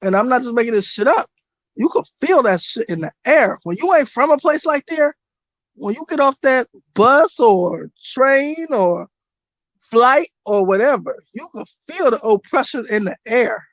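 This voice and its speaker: Blunt, hoarse-voiced woman streamer. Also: on the high side, no room sound. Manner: blunt, profane and animated, talks to her chat. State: losing her voice. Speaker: spiritual reader and streamer. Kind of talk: casual livestream monologue.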